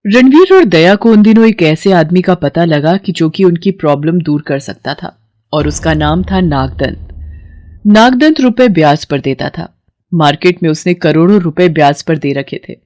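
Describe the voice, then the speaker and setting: A woman's voice: on the low side, animated, normal speed, a little mellow, dry recording, studio quality. A woman narrator telling a scripted story in a dramatic storytelling style.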